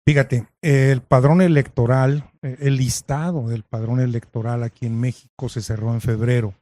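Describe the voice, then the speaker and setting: Mellow-voiced interviewer. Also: male voice, mid-range voice, steady tone, normal speed, no room sound. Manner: conversational, frames questions with remarks. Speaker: interviewer host. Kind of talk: interview questions.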